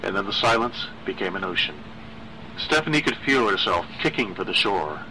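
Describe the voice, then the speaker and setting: A man narrating a literary horror story in a measured, dramatic way. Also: low-pitched, sing-song, sharp tone, slight background noise, poor audio.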